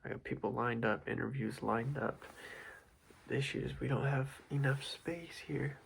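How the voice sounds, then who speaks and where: A man's voice: medium pitch, steady tone, moderate pace, creaky, slight background noise. A young man whispering an ASMR roleplay as a boss, scolding in a casual, rambling way with many fillers. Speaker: ASMR creator playing a boss.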